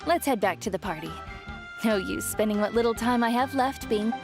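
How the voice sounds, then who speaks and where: A gentle, reflective warrior woman, played by an actress, ailing and serene in a video game drama. She sighs as she speaks, with clearly audible music behind her. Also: on the high side, fairly quick, natural voice. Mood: gentle resolve. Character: ailing, serene veteran female warrior at peace with death.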